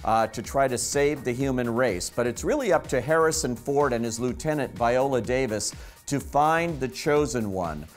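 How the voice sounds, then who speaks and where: A man talks through a film review as a critic and host. His voice sits at an ordinary middle pitch, and faint music plays behind him. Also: normal speed. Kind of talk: conversational movie review.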